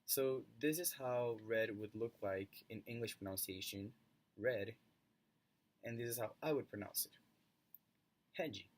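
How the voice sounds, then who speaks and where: Young man, Brazilian accent, low voice, earnest student narrating a personal story. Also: a little slow, long pauses. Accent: Brazilian Portuguese. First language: Portuguese.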